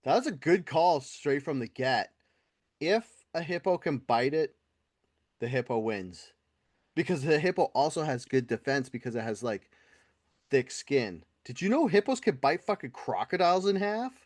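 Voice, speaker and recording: A middle-aged man co-hosting a casual podcast, talking in an animated, rambling way and swearing freely. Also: a little high, normal speed, no room sound, clean studio sound. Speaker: podcast co-host. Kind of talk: casual profane podcast chat.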